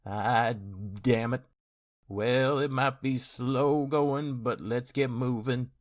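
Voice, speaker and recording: A man voices a distraught older village father for a fantasy audio drama. He is gruff and grumbling, with halting rustic speech at a middling pitch. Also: steady tone, natural voice. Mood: weary, resigned.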